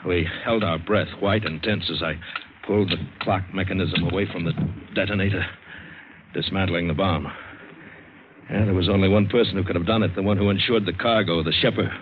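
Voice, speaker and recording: An actor playing a hard-boiled, cynical adventurer who narrates an old radio drama, terse and bitter. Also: male voice, deep voice, steady tone, brisk, noisy background, close to an everyday voice. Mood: tense, suspenseful. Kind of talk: 1940s-50s radio drama narration and acting. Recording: dull old radio broadcast with music.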